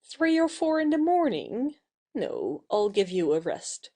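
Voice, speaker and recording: A woman playing a playful, wilful young actress in an audio play, brisk and coquettish with her suitor. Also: on the high side, big pitch swings, mellow, heavily acted. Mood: wilful, teasing.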